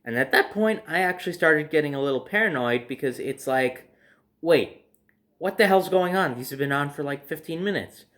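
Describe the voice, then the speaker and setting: Casual male storyteller, conversational and animated. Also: on the high side, normal speed. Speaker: storyteller hosting own video series.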